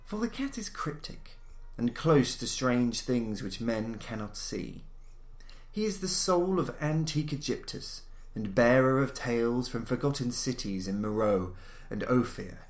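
A man with a mid-pitched voice narrates classic fiction in a roomy, echoing space. His delivery is formal and measured, in the manner of an audiobook reader of old horror tales. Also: lively intonation. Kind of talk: literary story reading, scripted narration.

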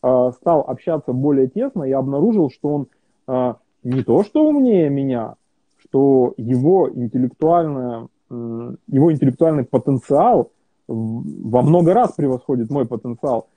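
Interview guest, male, husky voice over a tinny phone-like line, halting delivery full of fillers. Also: mid-range voice, expressive, dry recording. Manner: hesitant, many fillers and restarts. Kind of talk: casual conversational interview.

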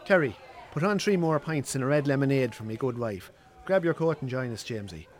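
A man's voice: mid-range voice, lively intonation, a little fast, a faint crowd, lightly acted. An actor in a radio drama playing a well-off Irish businessman who is jovial, pushy and patronising. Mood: jovial, goading. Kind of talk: naturalistic radio drama acting.

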